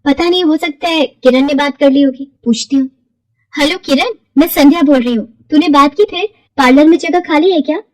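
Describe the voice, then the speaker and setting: A woman voicing a gentle, dutiful young bride who speaks earnestly and respectfully in a cartoon story. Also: high voice, a little fast, slight background noise, voice pushed up, natural voice, low-quality recording. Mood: earnest, determined.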